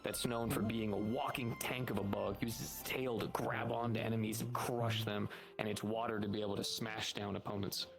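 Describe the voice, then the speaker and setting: A man's voice: fairly low pitch, little pitch movement, normal speed, vocal fry. A man role-playing online in casual improvised chat, often repeating his phrases. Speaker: role-player as a monster hunter guild member. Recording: music behind the voice. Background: music.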